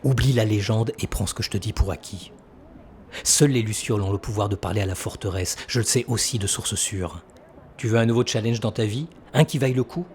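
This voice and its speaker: An actor playing a calculating senator who persuades with measured authority in an audio drama. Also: male voice, on the low side, a little mellow, slight background noise, natural voice. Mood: persuasive. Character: calculating, persuasive male senator and military schemer.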